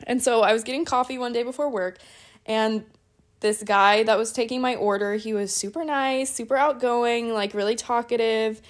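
A young woman co-hosting a podcast, speaking softly and supportively as she tells her friend a story. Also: a little high, fairly even intonation, normal speed. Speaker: podcast co-host. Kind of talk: casual chat, storytelling.

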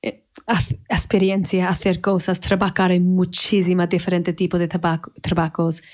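A woman in her thirties from England, a native English speaker with an English accent, talking casually as a podcast guest and often pausing to search for words. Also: fairly low pitch, completely flat delivery, a little mellow. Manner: searching for words, restarts in a second language. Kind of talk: casual conversational interview.

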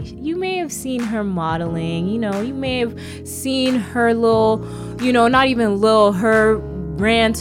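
Woman host, drawn-out relaxed delivery, cheerful, over background music. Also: medium pitch. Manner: upbeat, laughs often, drawn-out phrasing. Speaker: podcast host. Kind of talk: casual interview chat.

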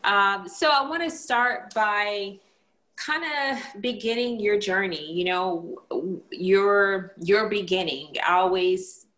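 A woman's voice: medium pitch, slow, fairly bright tone, poor audio. A woman hosting a casual interview, speaking in an enthusiastic, effusive manner. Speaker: podcast host interviewing guest.

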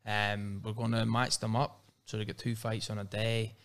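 A man with a Northern Irish accent and a lowish voice. He talks casually as an interview guest, rambling, restarting his sentences and leaning on "like" as he goes. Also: little pitch movement, normal speed, fairly bright tone. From Northern Ireland. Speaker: MMA fighter and guest.

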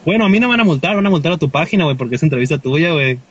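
A man's voice: a little high, animated, very fast, dull audio, crowd noise. A Mexican man in his twenties, a guest chatting casually in a joking, slangy, rambling way. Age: 20s. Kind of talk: casual chat.

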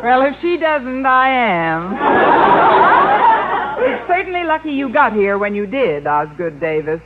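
A woman's voice: on the high side, sing-song, a little slow, over a noisy crowd. An actress playing a wisecracking woman with dry, sarcastic delivery in an old-time radio comedy. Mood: wry. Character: wisecracking, sardonic adult woman, quick with asides. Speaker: sitcom lead actress. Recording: tinny, noisy old radio broadcast with audience.

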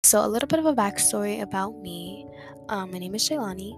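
A young woman hosting her own podcast, talking in a soft, reflective way and repeating words for emphasis. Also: medium pitch, animated, brisk. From Dominican family background. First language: English. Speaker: podcast host. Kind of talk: casual solo podcast monologue. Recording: music playing underneath.

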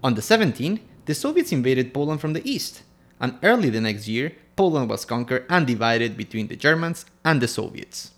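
A man's voice: medium pitch, sing-song, moderate pace, a bit bright. A man from Ecuador co-hosting a history podcast, reading scripted narration in turns with his co-host.

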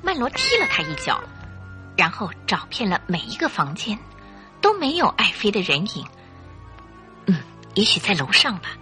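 A woman narrating a radio drama in an expressive, suspenseful storytelling style. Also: mid-range voice, moderate pace, long pauses, soft background music.

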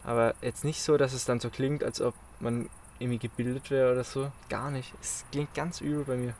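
A man's voice: mid-range voice, level tone, fairly quick, a little mellow, a little hiss or hum. A man in casual podcast chat, speaking hesitantly with frequent restarts. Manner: hesitant, many restarts and fillers. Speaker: podcast co-host.

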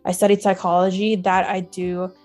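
A woman's voice: fairly low pitch, level tone, moderate pace, a little mellow, faint music behind. A young woman from Singapore and Shanghai speaking casually as an interview guest. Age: young adult. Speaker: podcast guest, music data analyst.

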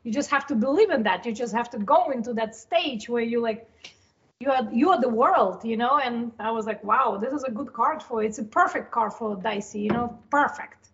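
Woman guest from Ukraine, high and bright voice, dull lo-fi sound, lively. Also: moderate pace. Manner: lively, laughs often, says "you know" a lot. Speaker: podcast guest. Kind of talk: casual podcast chat.

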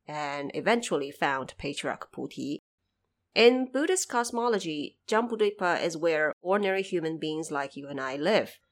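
Low-pitched woman podcast host, very lively intonation. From China. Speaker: podcast host explaining a story. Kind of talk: scripted explanatory narration.

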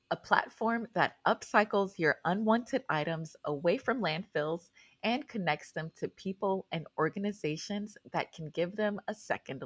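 A woman's voice: fairly low pitch, breathy edge, no room sound. A woman hosting a podcast, moving between scripted introduction and interview. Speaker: podcast host.

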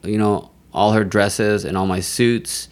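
A young man chatting casually on a podcast and telling animated, profane stories in a booming voice. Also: unhurried. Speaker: podcast guest.

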